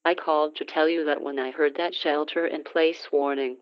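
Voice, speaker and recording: A woman's voice: sits low, steady tone, moderate pace, smooth, dry recording, lightly acted. A woman playing a shaken young mother calling in to a mock news program. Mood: upset. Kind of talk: amateur mock news call-in. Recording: dull lo-fi phone-in audio, dry.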